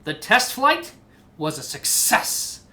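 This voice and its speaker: A woman reading a children's storybook aloud in an animated style. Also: fairly low pitch, slow. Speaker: storybook reader.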